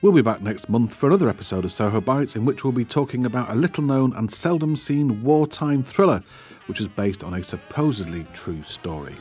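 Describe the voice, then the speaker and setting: A man's voice: medium pitch, lively intonation, a little fast, darkish tone, faint music behind. A male podcast host chatting wryly about a film, then reading the show's closing credits. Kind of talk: casual film chat and scripted outro.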